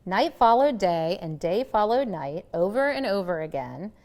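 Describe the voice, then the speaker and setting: Female picture-book reader, animated and dramatic, with very varied intonation. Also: medium pitch, moderate pace. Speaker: storybook reader. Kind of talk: expressive scripted narration of a children's picture book.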